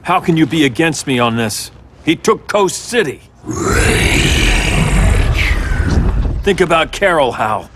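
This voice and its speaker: An actor playing a grim, all-powerful hero turned tyrant, in video game cutscenes, speaking in an intense, commanding, bitter way. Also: male voice, a little high, big pitch swings, slow, long pauses, background noise. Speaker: game character. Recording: game cutscene with loud effects behind.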